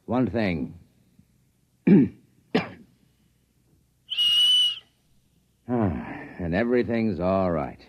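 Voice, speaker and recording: A man's voice: sits low, big pitch swings, slow pace, full character voice. An American man playing an uneasy spaceship crewman in a 1950s radio drama. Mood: dawning suspicion. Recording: dull, noisy old radio recording.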